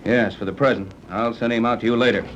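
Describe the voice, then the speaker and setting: A commanding hero captain from a 1930s adventure serial, played by a male actor. He gives decisive orders in a middle-pitched voice. The old radio recording carries some noise. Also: fairly even intonation, fast talker, highs cut off, close to an everyday voice. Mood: brisk, commanding.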